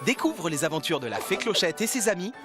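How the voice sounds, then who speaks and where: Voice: mid-range voice, very animated, fairly quick, over music, lightly acted. An actor playing an upbeat announcer, reading a scripted promo for a children's cartoon show.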